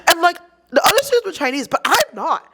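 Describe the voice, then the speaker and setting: A young woman tells a story on a podcast. Her voice is high and very lively. She talks quickly and sounds exasperated, and "like" keeps coming up in her speech. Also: background noise. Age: young adult. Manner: animated, exasperated, heavy use of "like". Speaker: podcast guest. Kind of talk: casual storytelling chat.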